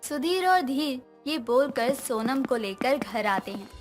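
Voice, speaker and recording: Female narrator for moral stories, high register, light music bed. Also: lively intonation, a little slow. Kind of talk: scripted story narration.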